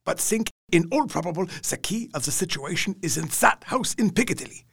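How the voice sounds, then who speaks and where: A man's voice: sits high, expressive, fairly quick, creaky, fairly bright tone, breathy edge, full character voice. A man doing an earnest old professor. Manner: earnest, reasoning, foreign grammar. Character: older male vampire hunter professor, earnest and learned. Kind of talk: radio drama acting.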